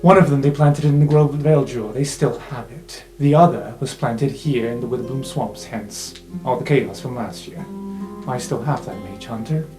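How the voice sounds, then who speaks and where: A man voicing a knowing, wry informant in an improvised fantasy roleplay podcast, explaining in measured phrases with theatrical pauses. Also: medium pitch, close to an everyday voice. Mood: explanatory, wry. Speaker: game master. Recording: roomy sound with guitar music underneath.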